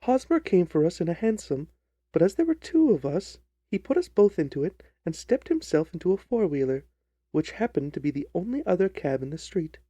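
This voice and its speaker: A man's voice: sits high, sing-song, fairly quick, warm tone, slightly rough, dry recording, full character voice. A man voicing an earnest, trusting, distressed young jilted bride in a dramatised story reading. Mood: earnest, recounting. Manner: earnest, wordy, pleading.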